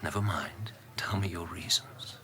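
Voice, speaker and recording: An actor playing a shrewd English Conservative politician who questions politely and in measured phrases, in a radio drama. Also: male voice, deep voice, pushed and bright, whispered. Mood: quietly probing. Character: shrewd, courteous British Conservative politician, quietly probing.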